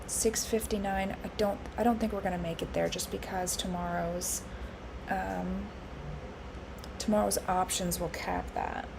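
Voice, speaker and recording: A woman live-streaming her futures trading, congested and hoarse from allergies, thinking aloud with lots of fillers and restarts. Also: on the low side, fairly even intonation, unhurried, background noise. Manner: musing, with frequent fillers and restarts.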